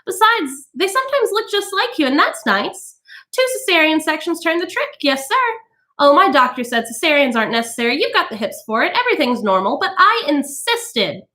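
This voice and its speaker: Woman doing smug, high-voiced mother, Missus Bowles. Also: sing-song, brisk, bright, forceful tone, exaggerated voice. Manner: matter-of-fact, self-satisfied, tittering.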